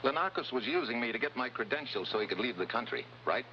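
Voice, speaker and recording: An actor playing a tough, wry American special agent with clipped, wisecracking delivery in a 1950s-style television crime drama. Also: male voice, mid-range voice, expressive, fast, lightly acted. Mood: wry, pressing. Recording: dull, noisy old TV soundtrack.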